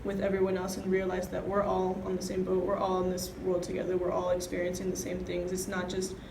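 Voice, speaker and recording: A woman's voice: fairly low pitch, completely flat delivery, fairly quick, gravelly. A young adult woman talking earnestly and reflectively in a group. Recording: echoey room with background noise.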